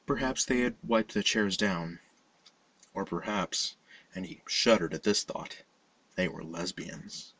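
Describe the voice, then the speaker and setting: A man reading a scripted horror story as narrator, in a measured, dramatic storytelling manner. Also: deep voice, a bit bright, dry recording, distant mic. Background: music.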